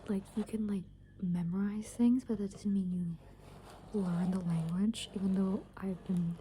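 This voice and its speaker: A woman's voice: medium pitch, fairly even intonation, unhurried, dark tone. Whispering young woman, noisy ASMR recording. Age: young adult. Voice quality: breathy, mostly unvoiced whisper. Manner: rambling, hesitant, frequent "like". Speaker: ASMR video creator. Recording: clear background noise.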